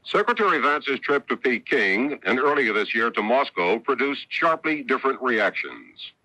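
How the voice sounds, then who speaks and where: An American man giving a scripted radio news report as a correspondent, with emphatic, measured analytical phrasing. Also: mid-range voice, very animated, bright, forceful tone, no room sound, poor audio.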